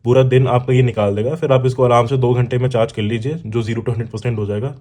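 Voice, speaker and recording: A man's voice: mid-range voice, darkish tone. A man with an Indian accent giving a casual, explanatory unboxing talk as a vlogger, addressing the viewer directly. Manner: explanatory, addresses viewer with examples and check-ins. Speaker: motorcycle vlogger and product reviewer. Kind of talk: casual unboxing talk mixing Hindi and English.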